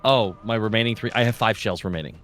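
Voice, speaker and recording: A man's voice: lowish voice, fast talker, a bit bright. A man taking his turn as a player in a tabletop game, chatting casually and calling out his rolls. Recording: music playing behind the voice.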